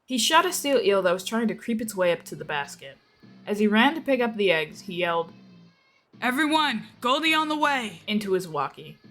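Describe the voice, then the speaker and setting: A woman reading a scripted action story aloud as a dramatic narration. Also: on the low side. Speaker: narrator of a fan fiction reading.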